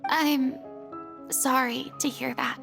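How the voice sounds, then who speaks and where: A woman's voice: sits high, fairly even intonation, takes it slowly, vocal fry, over music, exaggerated voice. An actress voicing a formal, theatrical young woman in a video game. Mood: subdued, regretful. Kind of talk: video game character dialogue.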